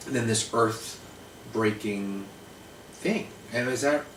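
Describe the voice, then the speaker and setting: A man's voice: lowish voice, drawn-out words, a bit bright. A man who hosts the chat, asking questions and stuttering now and then. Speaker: host. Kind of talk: casual chat. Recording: echoey room, distant mic, noisy.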